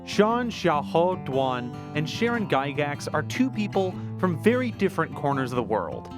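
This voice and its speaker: A man hosting a radio podcast, reading his script in a measured, clear voice. His voice sits a little high. Guitar music plays clearly underneath. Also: big pitch swings. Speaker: podcast host. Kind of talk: scripted narration.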